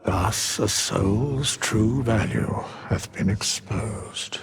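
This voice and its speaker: An actor playing an ancient, solemn undead skeleton in a fantasy game, speaking in an archaic, measured and cryptic way with a gravelly voice. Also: male voice, on the low side, exaggerated voice. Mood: solemn, detached.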